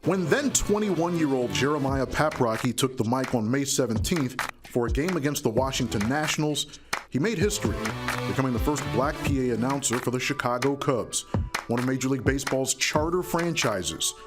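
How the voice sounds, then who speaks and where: An American man narrates a sports feature from a script. His voice is mid-pitched for a man, and a music bed plays clearly beneath him. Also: animated, normal speed. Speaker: reporter, narrator.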